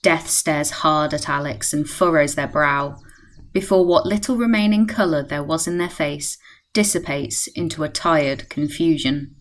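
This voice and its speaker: A woman's voice: low-pitched, unhurried. A woman playing the composed narrator of a radio play, reading scripted present-tense storytelling. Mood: measured.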